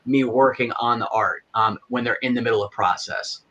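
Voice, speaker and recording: A man guest on a podcast, talking in a relaxed, easygoing way and laughing often. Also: medium pitch, normal speed, lo-fi sound. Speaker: guest, fan film creator. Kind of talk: casual interview chat.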